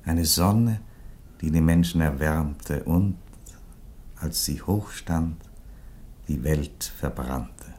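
An actor playing an ironic, dignified Roman emperor in a German radio play, speaking in a measured, theatrical way. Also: male voice, a bass voice, mellow. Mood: wistful, lyrical. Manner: measured, theatrical, lingering on phrases. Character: ironic, dignified Roman emperor resigning his empire, male.

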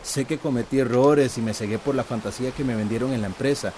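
A man's voice: medium pitch, quick pace, darkish tone, slight background noise, natural voice. An actor playing an earnest, humble young village man in a radio soap, with a Costa Rican accent. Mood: grateful, earnest. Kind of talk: radio soap opera acting.

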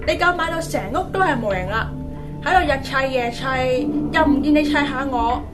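A woman's voice: high voice, normal speed, over music, natural voice. An actress playing an indignant girlfriend in a Cantonese radio drama, scolding and accusing with a Hong Kong accent. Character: nagging, indignant girlfriend of a driver.

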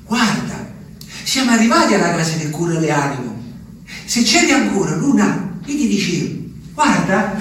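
An actor playing a naive, lonely, bewildered country wanderer in a theatrical radio monologue, childlike, rambling and repetitive. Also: male voice, extremely high pitch. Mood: plaintive, wondering. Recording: very echoey, distant, noisy ambience.

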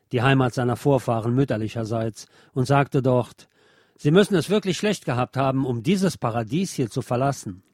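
A man reads a prepared piece as a radio host. His voice sits at a middle pitch and his delivery is measured and deliberate. The sound is dry, with very little room around it. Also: darkish tone, no echo. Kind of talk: read-aloud scripted obituary narration.

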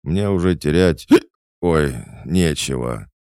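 A man's voice: very deep voice, slow pace, darkish tone, smooth, no room sound, studio quality, voice pushed up. A man voicing a drunken, self-pitying old tomcat who was once an actor, in a theatrical, plaintive drawl for a storybook.

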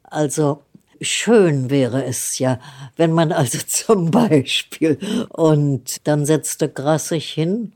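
A woman's voice: low voice, slow pace, warm tone. A woman of one hundred, a theatre publisher, giving an unscripted spoken recollection. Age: 100.

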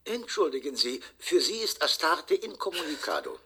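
An aloof, formal male recorded message in a radio play. The voice is husky, sharp and expressive. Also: on the high side, normal speed, full character voice. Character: aloof, formal man's recorded computer greeting. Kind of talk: radio drama acting.

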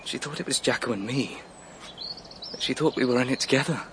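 A man's voice: medium pitch, fairly quick, background noise, lightly acted. An actor playing a brooding, sardonic young man in a radio drama. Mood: earnest, confiding. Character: brooding, sardonic adopted son of a murdered mother.

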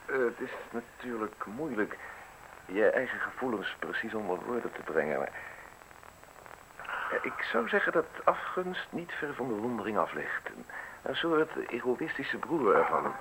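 An actor playing a guarded middle-aged public prosecutor in a radio drama, formal and measured in his speech. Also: lowish voice, big pitch swings. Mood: earnest, evasive. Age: 40s. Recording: noisy old radio play recording.

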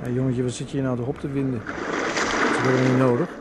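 A wry, idle older brother who likes to tease , played by a man in a radio play. He is in his thirties and speaks at a medium pitch, dry and mocking. Clear noise runs through the old recording. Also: little pitch movement, fast talker, close to an everyday voice. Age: 30s. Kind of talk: naturalistic radio play dialogue.

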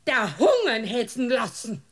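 Bavarian village gossip on the witness stand, high voice, aggrieved, tells it all very dramatically, radio comedy. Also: female voice, wildly swooping pitch, a little slow, full character voice. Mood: indignant. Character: indignant, gossipy Bavarian village woman testifying. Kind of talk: dialect radio comedy acting.

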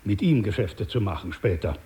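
An actor playing a paternal but menacing older crime boss in a radio drama, speaking in a measured, condescending, smooth-talking way. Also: male voice, lowish voice, big pitch swings, mellow. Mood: patronising, measured.

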